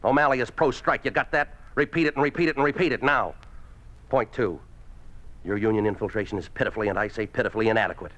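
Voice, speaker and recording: Man acting a low-voiced, ruthless party boss. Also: fast talker, a bit bright, lightly acted. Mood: harsh, commanding. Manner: curt, commanding, hectoring. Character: ruthless, domineering male communist party boss. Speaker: lead actor in a radio drama. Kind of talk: 1950s radio drama acting. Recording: muffled old 1950s radio recording.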